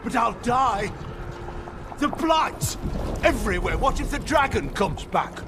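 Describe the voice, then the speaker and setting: High-pitched, desperate, guilty village mayor. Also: male voice, very animated, full character voice. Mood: desperate pleading. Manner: pleading, anguished, halting. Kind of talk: dramatic video game acting. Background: loud dramatic music.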